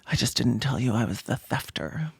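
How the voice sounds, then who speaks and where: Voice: medium pitch, expressive, moderate pace, gravelly, faint music behind. A comedic commentator reacting to videos with sarcastic, campy, playful delivery and mocking asides. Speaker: YouTube host and commentator.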